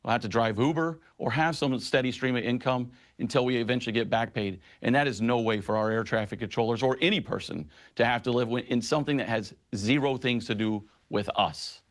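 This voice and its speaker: Impassioned middle-aged union leader. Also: male voice, medium pitch, brisk, few pauses. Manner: impassioned, emphatic advocacy. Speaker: union president. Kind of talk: news interview guest.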